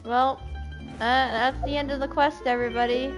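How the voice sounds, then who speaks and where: A woman giving casual live commentary as a game streamer, with an excitable, dramatic manner. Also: sits high, normal speed, music in the background, rough recording. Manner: excitable, dramatic, pleading exclamations.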